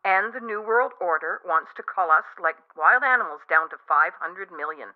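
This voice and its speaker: A woman's voice: fairly low pitch, animated, moderate pace. Tinny phone line, female caller, nervous, earnest, insistent, radio drama. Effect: telephone filter. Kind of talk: radio play acting.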